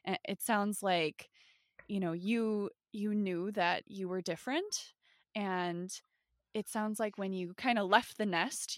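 A woman's voice: lowish voice, a bit bright, no room sound, studio quality. A woman with a Minnesota accent hosting a casual podcast interview, affirming and encouraging toward her guest. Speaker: podcast host, nurse.

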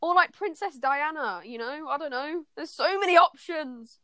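A young woman with a fairly high voice chats casually as a podcast co-host. She talks in a slangy, gushing way, and the sound is dry and close. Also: animated, normal speed, fairly bright tone, no echo. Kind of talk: casual chat among friends.

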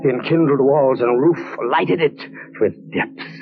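An actor in a 1940s radio horror drama playing a desperate, feverish captain imprisoned in a dungeon, theatrical and melodramatic. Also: male voice, mid-range voice, unhurried, creaky, soft background music. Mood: horrified narration. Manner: theatrical, melodramatic, swinging from hushed dread to frantic cries. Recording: noisy muffled old radio recording.

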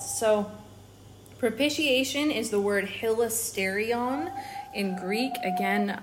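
A woman co-hosting a Bible study podcast, explaining word meanings in a calm, teacherly way. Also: mid-range voice, background noise. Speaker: podcast co-host.

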